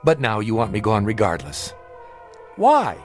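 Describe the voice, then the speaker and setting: Indignant male traveller, sarcastic and defiant, highly animated intonation, theatrical TV drama, music underneath. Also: measured pace. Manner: argumentative, sardonic, theatrical emphasis. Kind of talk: dramatic television-style acting.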